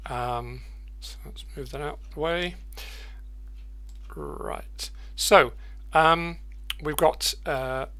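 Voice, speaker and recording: Slow, halting man giving a software tutorial. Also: on the high side. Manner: halting, thinking aloud, repeating words. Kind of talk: unscripted screen-share tutorial.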